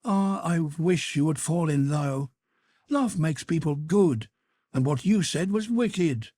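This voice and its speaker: A man's voice: fairly high pitch, animated, slow pace, warm tone, no room sound, pitched above the performer's own voice. A man voicing a dreamy, infatuated young woman who pleads lightly with her brother in a storybook reading. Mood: pleading.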